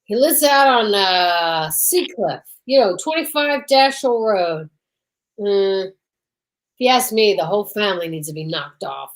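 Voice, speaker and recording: A woman's voice: mid-range voice, expressive, a little slow, natural voice. A woman playing a grumpy, bitter drinker in an amateur old-time radio drama, blunt and surly in manner. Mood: scornful.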